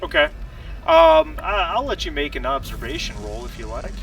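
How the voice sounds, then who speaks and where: A man's voice: sits high, huge pitch swings, normal speed, gravelly, slight background noise, low-quality recording. A man working as game master, narrating a tabletop role-playing game in a conversational, somewhat hesitant way.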